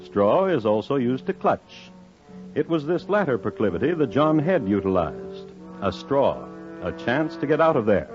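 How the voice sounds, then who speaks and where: An actor playing a wry, deadpan storyteller, narrating a 1950s radio crime drama. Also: male voice, mid-range voice, normal speed, natural voice. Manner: deadpan, droll. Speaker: narrator and host. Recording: muffled vintage 1950s radio recording. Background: music.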